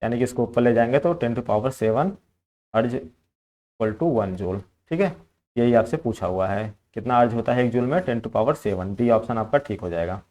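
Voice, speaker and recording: An Indian-accented man speaks as a teacher in a medium-pitched voice. He explains exam questions in an instructive lecture style, moving back and forth between two languages as he goes. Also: normal speed, a little mellow. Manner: instructive, code-switches between Hindi and English.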